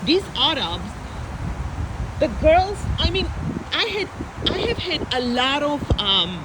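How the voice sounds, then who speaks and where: Emphatic woman over loud traffic. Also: high-pitched, very animated, slow. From Armenia. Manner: emphatic, repetitive, piles up lists. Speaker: vlogger. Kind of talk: unscripted personal monologue. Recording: loud traffic noise outdoors.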